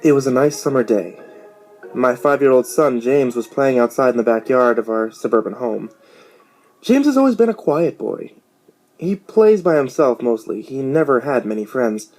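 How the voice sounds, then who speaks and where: Male horror storyteller, scripted read, mid-pitched, soft guitar music underneath. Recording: music behind.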